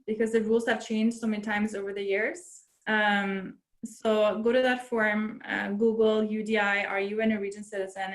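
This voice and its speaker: A woman consular officer answers questions in a relaxed, helpful way, pitched at a middle level. Her voice sits in a noticeably echoey room and comes through a video call. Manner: relaxed, helpful, uses fillers. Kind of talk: webinar Q&A answers.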